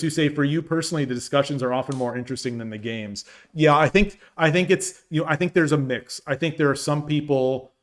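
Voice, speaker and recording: Chatty man streamer, voice going hoarse. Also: mid-range voice. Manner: rambling, many restarts, reads chat aloud. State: voice getting dry and hoarse. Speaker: shogi streamer. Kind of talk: casual live-stream chat.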